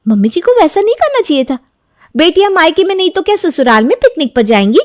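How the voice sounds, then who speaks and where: A spirited young daughter-in-law from a cartoon, played by a woman, who stands up for herself. She speaks boldly in a high, bright register. The recording is close and dry. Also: sing-song, quick pace, no echo. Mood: defiant. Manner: bold, defiant. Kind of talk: Hindi cartoon dubbing.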